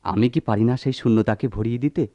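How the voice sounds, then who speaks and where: An actor playing a suave, flowery suitor, a company manager, with poetic theatrical delivery in a radio drama. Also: male voice, lowish voice, fast talker, dark tone, dry recording, natural voice. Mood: romantic yearning. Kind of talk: melodramatic radio drama acting.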